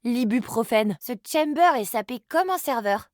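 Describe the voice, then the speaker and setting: Casting: actress for playful, sarcastic young immortal game heroine; lively swooping pitch, casual youthful slang, dry studio sound. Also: female voice, moderate pace, no echo, natural voice. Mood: playful, teasing. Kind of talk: video game character voice lines.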